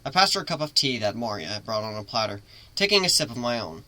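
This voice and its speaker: A man's voice: medium pitch, very animated, quick pace, pushed and bright. A man voicing a brash, sardonic male griffin ship captain in a theatrical fan fiction reading. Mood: regretful.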